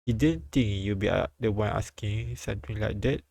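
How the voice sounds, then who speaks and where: Low, creaky-voiced man reading aloud. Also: dark tone, dry recording, studio quality. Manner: halting, repeats lines while reading. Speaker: streamer reading a visual novel aloud. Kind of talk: live read-aloud with asides.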